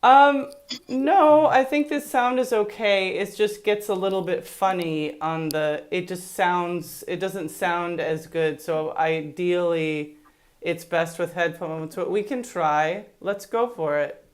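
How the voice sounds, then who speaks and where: A woman interviewing over a video call, speaking in a slow, deliberate way. Her voice is smooth and clear as she directs the conversation. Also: fairly low pitch. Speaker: remote interviewer.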